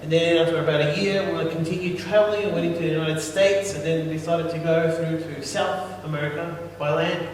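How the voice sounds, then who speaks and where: A man's voice: fairly high pitch, steady tone, normal speed, few pauses. A man interpreting a sermon in short consecutive phrases, restarting and repeating words as he translates. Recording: echoey hall, distant mic, noisy.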